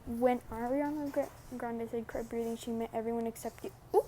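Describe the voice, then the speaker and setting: A young woman giving casual, slangy, sweary and teasing commentary as a gaming vlogger, with unhurried delivery. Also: a little high, steady tone, mellow, a little hiss or hum.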